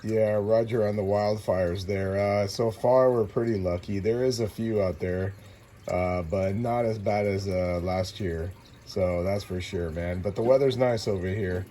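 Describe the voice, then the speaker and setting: A man's voice: on the low side, steady tone, unhurried, dark tone. An American man talking as a CB radio operator, casually making long-distance contact in a laid-back way with call signs. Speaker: CB radio operator and channel host. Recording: noisy CB radio room ambience. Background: radio noise.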